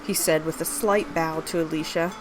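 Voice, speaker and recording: Lower-pitched woman reading fiction, music behind. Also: fairly even intonation, moderate pace. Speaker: author reading own fantasy story aloud. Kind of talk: scripted story narration with character dialogue.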